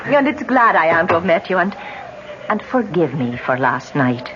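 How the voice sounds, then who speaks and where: A woman's voice: on the low side, sing-song, moderate pace, a bit bright. A fearful, devout Irish wife in a 1970s radio play. Her speech has an Irish accent and lilting, old-fashioned turns of phrase. The sound is a dull old broadcast with faint noise. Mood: concerned. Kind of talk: 1970s radio drama acting.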